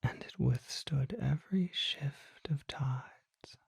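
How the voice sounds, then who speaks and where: Performer acting out a secretive vampire apothecary, slow ASMR whisper, very breathy, formal, coy. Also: medium pitch, darkish tone, dry recording, clean studio sound, heavily acted. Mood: hushed, intrigued. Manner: measured, formal, coy rhetorical questions. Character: mysterious vampire apothecary merchant, suave, secretive, unhurried. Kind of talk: ASMR roleplay, soft whispering.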